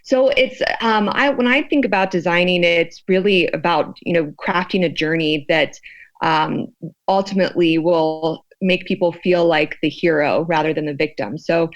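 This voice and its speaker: Woman, lowish voice, thoughtful interview guest, halting explanations, dull lo-fi recording. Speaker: guest, sociologist and fear researcher.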